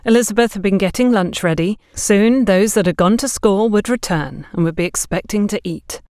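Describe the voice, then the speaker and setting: A woman's voice: mid-range voice, moderate pace, a little mellow, no echo, clean studio sound. A woman working as an audiobook narrator, reading scripted story narration.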